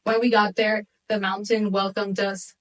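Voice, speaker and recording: Distant-miked woman, casual animated storyteller. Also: mid-range voice, moderate pace. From Venezuela, moved to the United States at eight. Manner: animated, informal, peppered with Spanish words. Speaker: interview guest.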